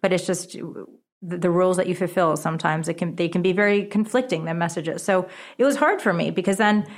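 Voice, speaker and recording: A woman's voice: lowish voice, fairly quick. A middle-aged woman whose first language is Japanese, a book author talking as an interview guest, chatty and often restarting her sentences. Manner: chatty, restarts sentences, lively rises when excited. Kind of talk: interview conversation.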